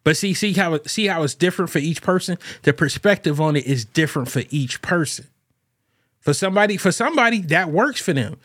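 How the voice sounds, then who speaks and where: A man's voice: a little high, animated, fairly quick, a bit bright, dry recording, studio quality. A man hosting a show alone and talking casually about relationships, rambling with lots of fillers and restarts. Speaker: podcast host. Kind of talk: casual solo talk on relationships.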